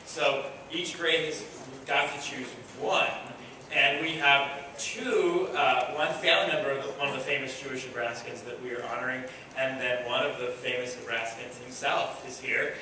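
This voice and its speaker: A man's voice: medium pitch, fairly even intonation, moderate pace, sharp tone. A man acting as director and narrator of a children's school play, announcing informally and coaching the children with playful encouragement. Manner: encouraging, playful, gives simple step-by-step instructions to children. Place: large echoey room before an audience. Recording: echoey hall from far off, clear background noise.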